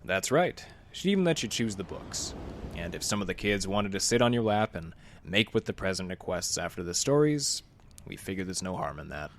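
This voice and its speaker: An actor playing a wry, hardboiled library detective in a noir radio drama, with dry, clipped delivery and first-person narration. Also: male voice, low voice, very animated, moderate pace, a little hiss or hum. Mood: dry, kindly.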